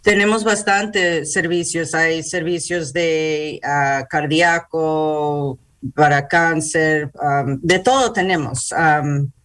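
Slow, halting bilingual woman in an interview. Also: low-pitched, a bit bright. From New York, United States. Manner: halting, searching for words in Spanish, slips into English words. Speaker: hospital public relations manager, interview guest. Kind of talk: interview answers.

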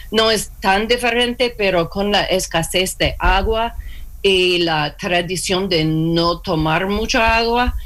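Slow, hesitant woman searching for words. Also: lowish voice, fairly bright tone. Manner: hesitant, many fillers and restarts, searching for words. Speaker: interview guest, clinic worker. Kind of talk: halting conversational interview.

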